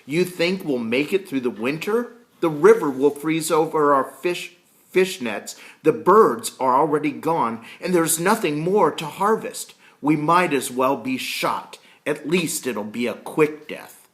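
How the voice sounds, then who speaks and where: A man reading aloud plays a desperate, hungry colonist from a historical storybook. The voice is loud and agitated, pitched up and full of indignation. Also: animated, a little slow. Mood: bitter despair.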